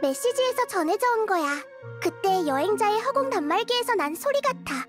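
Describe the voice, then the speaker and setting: A woman voicing a small young goddess in a game, calm and wise, explaining things in a measured, thoughtful way. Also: extremely high pitch. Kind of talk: Korean game voice acting. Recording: music behind the voice.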